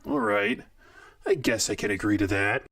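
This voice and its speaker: A stubborn machine with a grudge in an audio drama. The voice is on the low side and gravelly, with a combative, sardonic edge. It sounds a little distant, without echo. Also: male voice, wildly swooping pitch, moderate pace, a bit bright, studio quality, exaggerated voice. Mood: grudging. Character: stubborn, touchy coffee-maker robot with grudges.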